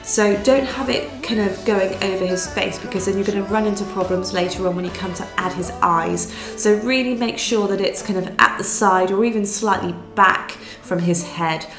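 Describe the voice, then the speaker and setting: Brief: woman, craft tutor with a cold, nasal and croaky, mid pitch, roomy recording with faint music underneath. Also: fairly even intonation, normal speed, few pauses. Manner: patient step-by-step explaining with fillers. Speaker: needle felting instructor. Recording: roomy sound with soft music behind.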